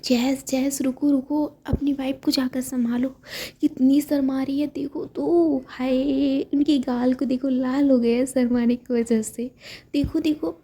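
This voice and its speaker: A woman's voice: high-pitched, little pitch movement, unhurried, mellow. A woman voicing a teasing, cheeky young woman who mocks her friends in a singsong way. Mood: mocking.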